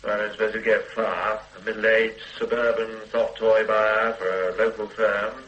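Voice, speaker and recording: Brief: man as a breezy senior policeman, lowish bright voice, roomy echo. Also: flat delivery, highs cut off, lightly acted. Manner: brisk and offhand. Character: brisk, breezy senior male police officer. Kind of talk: radio drama acting.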